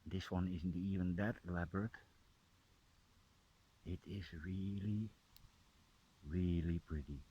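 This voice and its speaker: A man with a Dutch accent, softly narrating a mushroom hunt in a forest as a nature vlogger. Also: sits low, husky, highs cut off. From Netherlands. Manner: soft-spoken, drops to a hushed near-whisper up close. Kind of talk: casual narration while foraging. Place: forest, outdoors.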